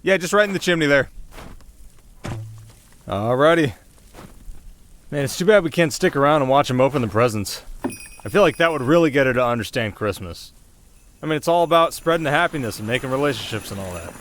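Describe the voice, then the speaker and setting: A man's voice: medium pitch, expressive, fairly quick, long pauses, a bit bright, slight background noise, natural voice. Man playing sheepish, cocky young winter spirit. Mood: eager, casual. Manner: sheepish, casual, defensive, earnest. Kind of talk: ASMR roleplay voice acting.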